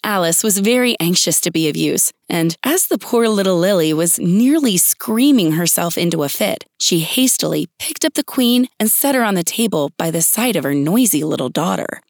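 A woman's voice: on the low side, lively intonation, normal speed, hardly any pauses, no room sound. Female storyteller in a dry recording. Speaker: audiobook reader. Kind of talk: scripted children's story narration.

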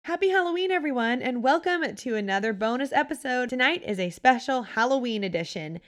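A woman's voice: sits high, expressive, a little fast, faint music behind. Stuffy-voiced woman podcast host. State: stuffy and sore-throated from allergies. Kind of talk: scripted podcast narration.

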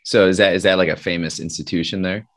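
A man's voice: low voice, steady tone, quick pace, a bit bright. A man interviewing a guest in a casual chat, giving short acknowledgments and asking curious follow-up questions.

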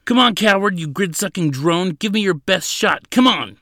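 A man voicing a defiant, hot-headed young male prisoner in an audiobook, mocking and loud. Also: on the high side, big pitch swings, normal speed, no room sound, clean studio sound, voice pushed up. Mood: defiant shouting. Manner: shouting, mocking.

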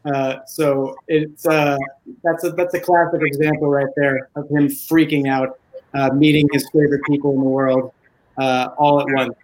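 A man, a voice actor, chatting casually as an interview guest in a halting, enthusiastic way. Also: a little high, level tone, a little mellow, slight background noise, rough recording.

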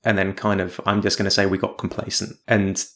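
A man's voice: sits low, monotone, a little fast, a bit bright. Chatty male podcast host. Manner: chatty, laughs easily, tag questions. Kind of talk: podcast interview questions.

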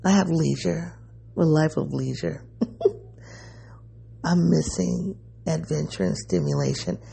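A raspy, sleepy man speaks in a rambling, self-searching vlog monologue. His voice is deeply gravelly and husky, with a dark, mellow tone. His pitch swings widely as he muses. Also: big pitch swings, slow. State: sleepy, voice going. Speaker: vlogger. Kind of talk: personal reflective monologue.

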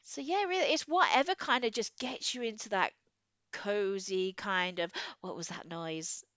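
A woman's voice: mid-range voice, normal speed, a bit bright, no echo. Effusive woman, slightly dull recording. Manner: effusive, informal. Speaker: podcast co-host. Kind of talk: casual book chat.

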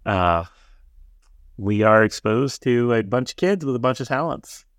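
A man's voice: medium pitch, animated, a little slow, no room sound, clean studio sound. A man co-hosting a casual podcast chat, easygoing, recapping the plot with ums and uhs. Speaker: podcast co-host.

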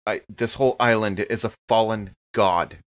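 A man's voice: lowish voice, steady tone, normal speed, lo-fi sound. A man role-playing casually in a tabletop game, mostly speaking as his character. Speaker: player in a tabletop game podcast.

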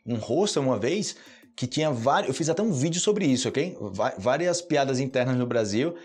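A man's voice: mid-range voice, lively intonation, brisk, darkish tone, slightly rough. A Brazilian man hosting a podcast that teaches Portuguese, talking casually and checking in with his listeners often. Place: indoors, hot room.

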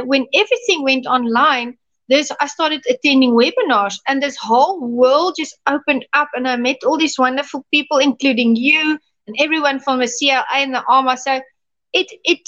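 A woman's voice: high voice, normal speed, fairly bright tone, dull audio. A woman from South Africa with a South African accent, a pastor telling stories in a casual interview. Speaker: pastor and podcast guest.

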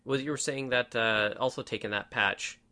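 A man's voice: medium pitch, steady tone, normal speed, sharp tone, smooth. A man hosting a rally podcast, chatting in an upbeat, easygoing way and laughing easily. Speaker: podcast host. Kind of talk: casual podcast chat.

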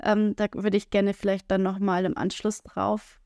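A woman co-hosts a relaxed talk podcast, speaking in a frank, earthy way. She drops frequent filler sounds and now and then acts out quoted lines. The recording is dry and close, with no room echo. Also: mid-range voice, moderate pace, clean tone. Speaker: podcast co-host. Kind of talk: casual podcast conversation.

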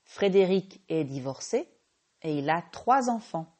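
A French woman who teaches French, hosting a slow, clear language-lesson podcast and patiently correcting her own small slips. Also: lowish voice, lively intonation, darkish tone. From France.

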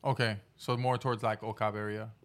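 Young male host, halting curious questions. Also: lowish voice, flat delivery. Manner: hesitant, lots of fillers and restarts. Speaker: podcast host. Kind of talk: casual interview.

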